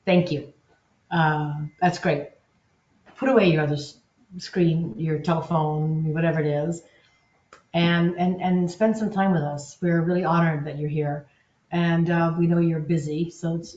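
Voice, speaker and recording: A woman's voice: deep voice, darkish tone, echoey room, highs cut off. A woman presenting a live webinar in an upbeat, enthusiastic, loosely conversational way. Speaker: webinar presenter, agency content officer.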